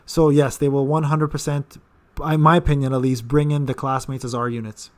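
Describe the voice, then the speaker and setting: A man's voice: mid-range voice, flat delivery, a little fast. A man in his thirties hosting a casual live-stream Q&A, rambling with repeated words and fillers. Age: 30s. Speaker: gaming channel host.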